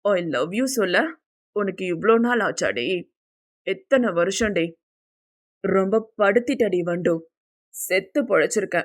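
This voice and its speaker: A woman's voice: mid-range voice, expressive, slow, pauses often, pitched below the performer's own voice. A woman voicing a flustered young man in love, scolding affectionately in an audio novel. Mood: lovestruck, teasing. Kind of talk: Tamil audio novel character acting.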